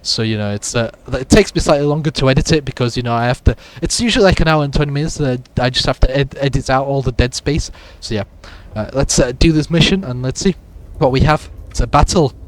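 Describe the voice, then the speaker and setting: A man with a sick, raspy voice casually comments on his gameplay, rambling along with lots of fillers. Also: mid-range voice, fast talker, few pauses. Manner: rambling, with many fillers and restarts. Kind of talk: casual let's-play commentary.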